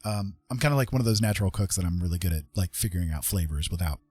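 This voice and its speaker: Low, husky man telling a rambling story. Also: expressive, racing, darkish tone. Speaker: livestream host.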